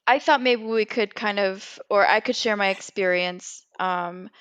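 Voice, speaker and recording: A woman's voice: mid-range voice, a little slow, a bit bright, smooth, no room sound. American woman podcasting, close and dry. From California, USA. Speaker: podcast co-host. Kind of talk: casual conversational podcast chat.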